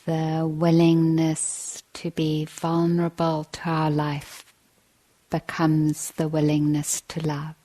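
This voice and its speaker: Female meditation teacher, low and fairly even in tone, gentle, measured delivery with generous pauses. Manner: gentle, measured, with long pauses between short phrases. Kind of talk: guided meditation dharma talk.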